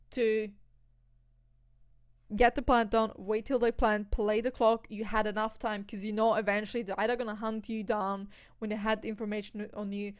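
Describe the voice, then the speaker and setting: A young woman from Austria, commentating an esports match solo, breaking down tactics and lifting into excited calls on the kills. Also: fairly high pitch, no room sound, studio quality. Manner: analytical, rising to excited bursts on kills. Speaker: esports caster.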